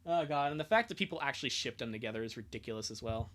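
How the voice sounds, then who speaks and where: A man's voice: medium pitch, expressive, rapid-fire, fairly bright tone. A man hosting a live stream with casual commentary and reading, sarcastic, exasperated and prone to swearing.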